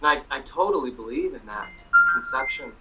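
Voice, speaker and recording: A man's voice: medium pitch, expressive, fairly quick, lo-fi sound, over loud music. A man speaking as a musician guest in a casual interview chat, easygoing and friendly.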